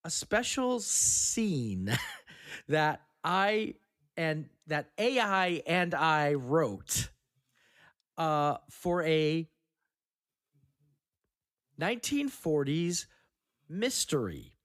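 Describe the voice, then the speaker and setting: A man's voice: fairly high pitch, big pitch swings, drawn-out words, pauses often, a bit bright. A man hosting a podcast, moving between casual chat and scripted scene narration.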